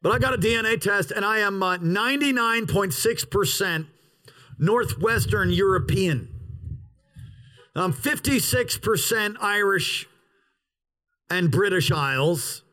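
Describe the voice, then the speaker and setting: A pastor preaching an outdoor sermon in a parking lot, with an opening prayer and emphatic, drawn-out pauses. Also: male voice, high voice, expressive, pushed and bright, rough recording.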